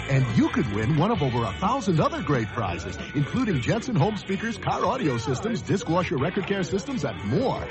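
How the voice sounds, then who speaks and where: An actor playing a polished promotional announcer in a comic radio advertisement, interviewing on location. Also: male voice, a little high. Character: polished promotional announcer, increasingly flustered man.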